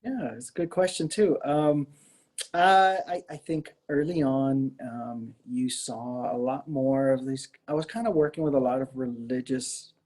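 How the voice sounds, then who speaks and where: Mellow-voiced American man, hesitant talker. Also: medium pitch, fairly even intonation, a little slow. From Miami area, Florida, US. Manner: hesitant, rambling, with many hedges and repeated words. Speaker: artist interviewed as guest. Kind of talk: casual conversational interview.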